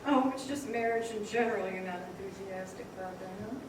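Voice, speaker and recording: A woman's voice: medium pitch, fast talker, close to an everyday voice. Echoey, pert young woman, acted on stage. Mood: gentle probing. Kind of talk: old-time radio drama stage acting. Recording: echoey theater, distant mic, noisy.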